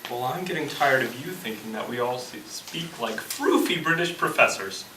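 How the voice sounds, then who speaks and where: A man's voice: medium pitch, animated, normal speed, close to an everyday voice. A man in an amateur stage play acting an irritable, stubborn young slacker with blunt, sarcastic, slangy talk. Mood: irritated. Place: indoor stage, recorded at a distance. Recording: echoey distant stage recording, clear noise.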